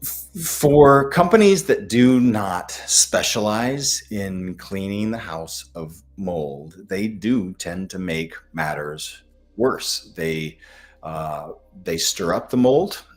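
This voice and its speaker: An American man from the Midwest giving informal question-and-answer health advice as a doctor, in a halting way with pauses between phrases. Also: low voice, animated, faint music behind.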